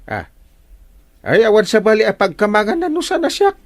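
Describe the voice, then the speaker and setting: Stubborn village captain, Ilocano Filipino accent, radio comedy, gruff, dismissive, extremely animated intonation. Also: male voice, high voice, moderate pace. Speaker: barangay captain in a comedy drama.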